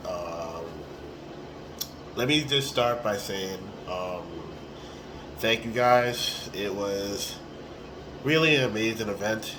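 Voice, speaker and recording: Hoarse man rambling into his phone. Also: fairly low pitch, slow, long pauses, noisy background. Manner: relaxed and rambling, with many ums and restarts. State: hoarse, raspy voice. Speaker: tournament organizer. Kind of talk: casual selfie video recap. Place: small room indoors. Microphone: phone at arm's length.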